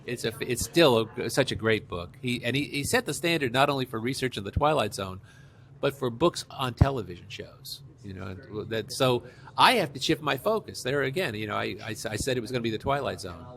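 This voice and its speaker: A man's voice: medium pitch, expressive, a little fast, creaky, fairly bright tone. A middle-aged or older man, an author being interviewed, telling anecdotes conversationally and repeating phrases for emphasis.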